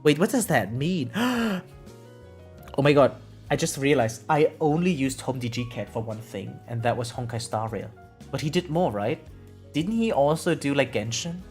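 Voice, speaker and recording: A streamer with a medium-pitched voice giving casual reaction commentary, reading aloud and chatting in an animated, exclamatory way, often checking in with "right?". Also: normal speed. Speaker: variety streamer. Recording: music behind the voice. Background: music.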